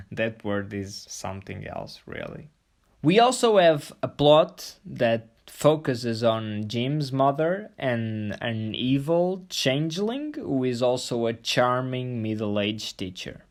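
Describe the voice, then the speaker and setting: A man's voice: medium pitch, expressive, slow pace. A man hosting a scripted video review, playful and full of jokey asides. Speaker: host of a review show.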